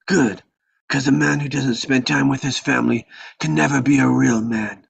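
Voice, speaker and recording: An actor playing a stern, commanding old mafia patriarch with a gravelly, husky voice in a script table read. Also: male voice, medium pitch, fairly even intonation, normal speed, no room sound. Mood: calm, commanding.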